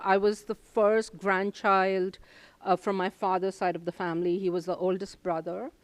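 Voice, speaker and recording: Older woman from India, Hindi native, smooth clear voice, dry close sound, hesitant reflective answers. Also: mid-range voice, darkish tone, dry recording. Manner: reflective, with many ums and uhs. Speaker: professor, guest. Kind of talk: interview answers.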